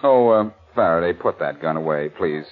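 An actor playing a cocky, unflappable amateur sleuth in 1940s American radio style, glib and sardonic with constant wisecracks. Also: male voice, low voice, lively intonation, moderate pace. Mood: mocking, breezy. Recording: muffled narrow-band vintage radio recording.

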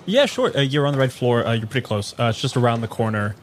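A man playing a mild, apologetic agency staffer in an improvised audio drama, hesitant and awkwardly deadpan. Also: mid-range voice, fast talker, a little hiss or hum, lightly acted. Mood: helpful, awkward.

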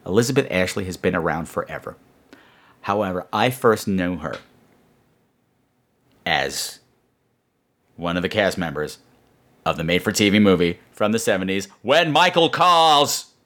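Animated, rambling man hosting a podcast. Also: deep voice, a little slow, long pauses. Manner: rambling, sarcastic asides, swearing. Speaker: podcast host. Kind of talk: casual comedic storytelling monologue.

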